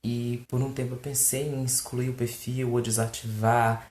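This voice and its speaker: Animated Brazilian man in an echoey room. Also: medium pitch, normal speed, slight background noise. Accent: Brazilian Portuguese. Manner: animated and exasperated, with mocking asides. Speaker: fan page host answering questions. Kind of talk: casual chat to camera. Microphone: phone recording.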